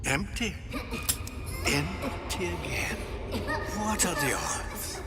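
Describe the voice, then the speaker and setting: An actor playing a sadistic, taunting thug with a drawl in a video game. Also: male voice, sits high, expressive, extremely slow, over loud music, exaggerated voice. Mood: cruel amusement. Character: sadistic, mocking male thug. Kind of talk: dramatic video game voice acting.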